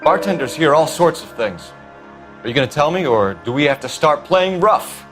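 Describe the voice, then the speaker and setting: A man's voice: fairly high pitch, lively intonation, moderate pace, over music, close to an everyday voice. A man playing a stern, by-the-book 1940s police detective in a video game, questioning in a clipped, formal, authoritative way. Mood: stern, pressing. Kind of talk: scripted video game dialogue, 1940s crime drama.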